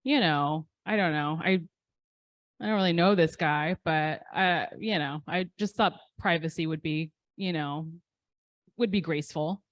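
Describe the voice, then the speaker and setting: A woman in her thirties answers interview questions in a relaxed, candid way. She is self-deprecating and pauses often with ums. Her voice sits low, and the recording is close and dry with no room echo. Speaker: poet guest.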